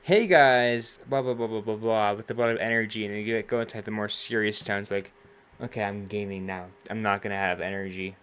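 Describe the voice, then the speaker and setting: Laid-back young man with a low voice. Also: fairly even intonation, a little fast, smooth. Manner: easygoing, laughs loudly and often. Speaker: podcast co-host. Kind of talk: casual chat. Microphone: home streaming microphone.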